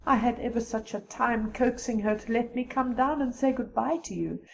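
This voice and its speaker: A woman doing a tearful young girl, high-voiced. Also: creaky, slight background noise, low-quality recording. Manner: tearful and hurried. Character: sad, gentle young girl, best friend.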